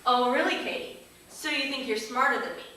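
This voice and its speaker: Across an echoing stage, a woman plays a bossy older schoolgirl. Her voice is sharp and fairly high, taunting in comic radio play fashion. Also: fairly quick. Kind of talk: live radio comedy theater. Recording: echoey stage, distant, faint noise.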